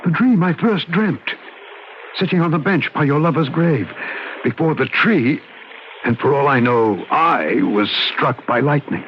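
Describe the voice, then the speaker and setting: A man's voice: fairly high pitch, lively intonation, a little slow, slight background noise. An actor playing a troubled, haunted man in a radio ghost story, with earnest, dramatic delivery and literary phrasing. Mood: shaken. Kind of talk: radio drama acting and first-person narration. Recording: muffled old radio recording, music behind.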